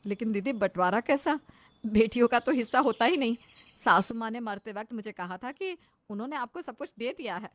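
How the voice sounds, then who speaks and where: A woman's voice: on the high side, animated, brisk, close to an everyday voice. A woman playing a mild, simple middle-aged mother-in-law in a cartoon moral story, cautious and homely. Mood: pleading.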